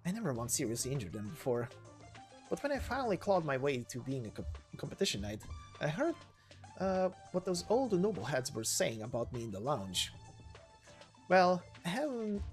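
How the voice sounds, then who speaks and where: Guy doing a tough bitter lance knight from a game, blunt and halting, pitch all over. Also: big pitch swings, soft background music. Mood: bitter, intense. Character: brash, battle-hardened lance knight, proud and bitter.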